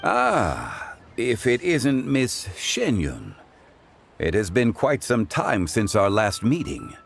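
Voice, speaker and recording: An actor playing a calm, ancient male consultant who speaks in a formal, measured and courteous way, in a video game. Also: mid-range voice, creaky, slight background noise, full character voice. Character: ancient, composed male consultant of refined taste.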